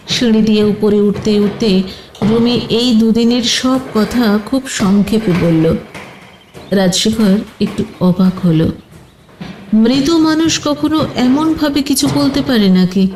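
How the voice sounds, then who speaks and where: A woman's voice: on the high side, darkish tone, roomy sound, slight background noise, rough recording. A woman narrating a scripted detective audio story in a dramatic storytelling style.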